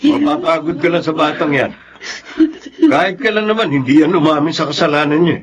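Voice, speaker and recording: Stern Filipino father, radio drama: fairly high, expressive, disappointed, music beneath. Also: a little fast. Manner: reproachful.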